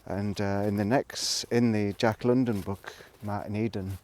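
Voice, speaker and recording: A man talking casually to a vlog audience in a reflective, rambling monologue, musing haltingly and trailing off between thoughts. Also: on the low side, fairly even intonation, a little mellow. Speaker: vlogger. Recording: noticeable background noise, clicks.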